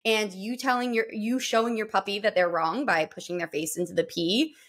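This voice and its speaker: Dog trainer lady on a podcast, middle pitch, patient and earnest, walks you through it. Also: fairly quick, a bit bright. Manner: explanatory, earnest. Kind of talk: podcast conversation.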